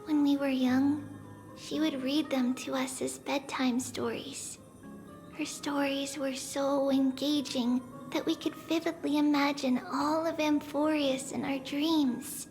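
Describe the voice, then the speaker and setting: A woman playing a small, gentle, childlike demigod girl in a video game, speaking in a reflective, unhurried way as if older than she looks. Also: sits high, little pitch movement. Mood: wistful. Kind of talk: scripted video game character acting. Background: background music.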